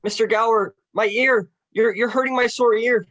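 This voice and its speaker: A man's voice: extremely high pitch, steady tone, moderate pace, a bit bright, dull audio. A man playing a frightened, polite young boy in a radio play, stammering and pleading. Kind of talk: 1940s-style radio play acting.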